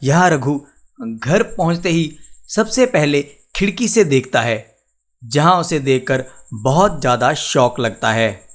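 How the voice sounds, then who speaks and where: A man's voice: fairly high pitch, animated, takes it slowly. A man narrating a scripted moral tale as a storyteller for a cartoon video.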